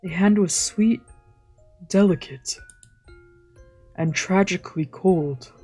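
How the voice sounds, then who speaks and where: A mellow, mid-pitched streamer reads a visual novel out loud over faint music. The delivery is gentle storytelling, broken up by casual, musing asides. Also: slow, husky. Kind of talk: let's play read-aloud narration with casual asides. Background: visual novel background music.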